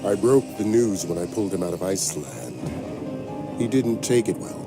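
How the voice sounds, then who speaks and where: Very deep-voiced, grave older man narrating. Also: very animated, normal speed, over music. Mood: somber, regretful. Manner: grave, plain-spoken, folksy. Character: weary senior intelligence handler, gruff but loyal older man. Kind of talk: game cutscene narration.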